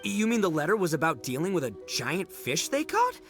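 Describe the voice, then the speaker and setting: Loud-mouthed, high-pitched young oni. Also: male voice, huge pitch swings, a little fast, over music, exaggerated voice. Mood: fired up. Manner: brash, bragging. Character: boisterous, boastful young oni man, competitive and cheerful. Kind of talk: anime game voice acting.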